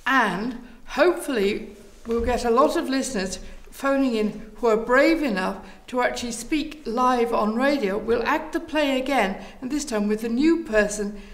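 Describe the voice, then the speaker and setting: Higher-pitched woman with creaky voice. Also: normal speed, rough recording. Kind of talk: interview answers and storytelling.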